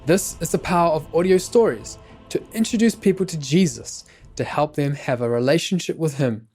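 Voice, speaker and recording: A man's voice: on the high side, normal speed, a little mellow, faint music behind. A man hosting a podcast, reading scripted narration.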